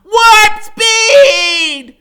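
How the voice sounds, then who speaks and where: A man voicing an excitable, bossy, clever small boy in an audiobook, shouting out commands and exclamations. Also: very high voice, drawn-out words, voice pushed up, exaggerated voice. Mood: urgent, bossy. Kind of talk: audiobook character voice.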